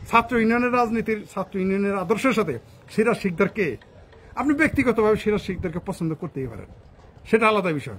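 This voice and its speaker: A man's voice: sits high, a bit bright, breathy edge. A man with a Bangladeshi Bengali accent delivers an informal political rant, combative and scornful, swearing freely and firing off rhetorical questions. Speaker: political commentator.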